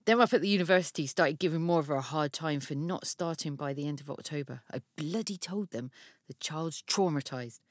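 A woman's voice: low-pitched, a little fast, dry recording, studio quality. An actress playing an aggrieved, fiercely protective mother who talks in a gossipy, resentful, confiding way in an audio drama monologue. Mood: indignant, protective.

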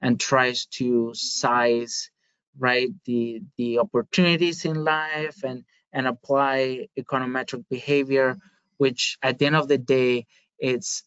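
A man from Spain with a Spanish accent, answering interview questions in a thoughtful way with many pauses and restarts. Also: medium pitch, fairly bright tone, clean tone, dry recording. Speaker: guest, market research manager. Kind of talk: podcast interview. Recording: dry, slightly dull audio.

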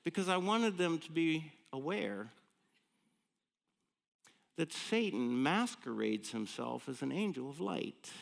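An American man in his late fifties delivers a sermon as if chatting with friends. His voice sits a little high and swings widely in pitch. He tells stories with folksy, self-deprecating humor and often checks in with rhetorical questions. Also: very animated, slow, vocal fry, long pauses. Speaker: preacher. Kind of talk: conversational sermon with humorous storytelling.

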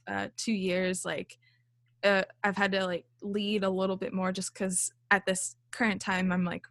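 A young woman with a small, light voice talks about her band in a relaxed interview. She rambles with fillers and laughs often. The sound is dry and close. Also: medium pitch, dry recording. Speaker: band leader and songwriter. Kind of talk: casual interview chat.

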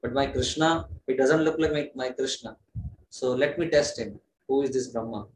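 A man's voice: mid-range voice, normal speed, distant mic, close to an everyday voice. A man voicing a doubtful, puzzled male god who questions aloud what he sees. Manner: wondering, questioning. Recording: roomy echo with faint noise.

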